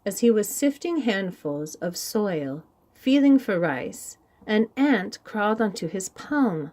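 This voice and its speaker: A woman reading a storybook aloud for young children in an animated, deliberate storytelling style. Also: mid-range voice. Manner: animated, deliberate, with sound words.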